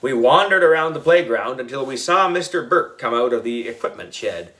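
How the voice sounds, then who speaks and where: A man narrating a children's story in an expressive, playful style. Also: medium pitch, normal speed. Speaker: audiobook reader.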